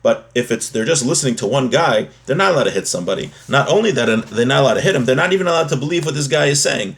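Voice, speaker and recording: Male religious teacher, mid-pitched voice, reading and explaining a text, restating each point for clarity. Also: lively intonation, racing, a bit bright. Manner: explanatory, repeats and rephrases points. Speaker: religious teacher giving a daily class.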